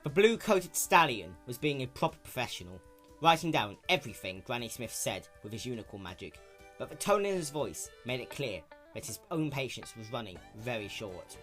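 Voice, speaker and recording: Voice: medium pitch, sing-song, normal speed, a bit bright. A narrator giving a dramatic reading of a fan fiction story. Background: background music.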